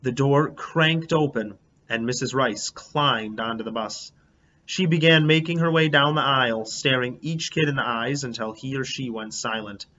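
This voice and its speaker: Guy reading a kids book out loud, real bright punchy voice, expressive, kinda muffled recording. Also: medium pitch, normal speed. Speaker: teacher reading a children's book aloud. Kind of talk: expressive storybook read-aloud in a child narrator's first person.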